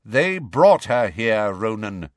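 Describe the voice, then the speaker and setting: A man voicing a stern, suspicious and proud male centaur, snarling deliberately in a storybook reading. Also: on the low side, very animated, dry recording, studio quality. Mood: stern suspicion.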